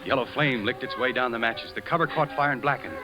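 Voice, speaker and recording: An actor playing a brooding, obsessive American fire inspector who narrates and acts in a 1950s radio thriller, intense and confessional. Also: male voice, medium pitch, fast, natural voice, low-quality recording. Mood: grim narration. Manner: intense, dramatic first-person confessional. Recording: vintage radio drama with music behind.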